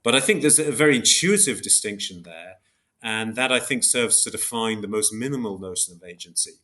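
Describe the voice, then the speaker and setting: Low-pitched man, careful academic explainer. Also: lively intonation, brisk, bright, forceful tone. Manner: hesitant, precise, self-correcting. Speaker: philosopher guest, book author. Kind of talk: academic interview, explaining concepts.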